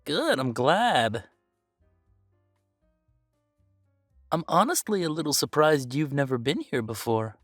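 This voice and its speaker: A man's voice: on the high side, sing-song, normal speed, no echo, studio quality, faint music behind. A man playing a playful, easygoing young werewolf boyfriend in a casual roleplay audio, chatting in a teasing, emphatic way. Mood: warm, chatty.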